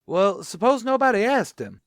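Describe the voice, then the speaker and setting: A man doing a mumbling deadpan bar waiter. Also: high voice, normal speed, no room sound, clean studio sound. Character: deadpan male tavern waiter, dry heckler.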